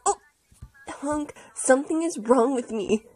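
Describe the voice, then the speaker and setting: A woman's voice: high voice, measured pace, background noise. A woman voicing a lovesick, melodramatic young man in a fan comic dub. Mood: distressed, panicky.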